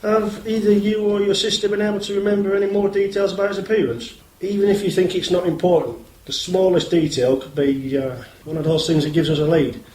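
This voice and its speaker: A man playing a polite, methodical and persistent police inspector in a radio play, formal and measured in manner. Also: sits high, fairly even intonation, darkish tone, natural voice. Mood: serious, probing. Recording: roomy echo, faint noise.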